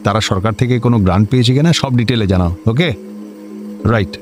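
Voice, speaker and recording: Guy playing a bossy officious male bureaucrat in a radio comedy, excitable, clipped, music in the recording. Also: lowish voice, a little fast, close to an everyday voice. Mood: scolding, demanding. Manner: officious, clipped, peppered with English words. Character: officious, excitable male district magistrate, bossy to juniors, servile to superiors. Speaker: actor in a radio play. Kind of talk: Bengali comedy radio drama acting.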